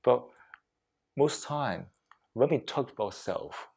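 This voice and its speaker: A man leading a reflective mindfulness talk as a meditation teacher, speaking deliberately in short phrases with frequent pauses and non-native English phrasing. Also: medium pitch, animated, creaky, darkish tone, slightly rough. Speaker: meditation teacher giving a workplace session.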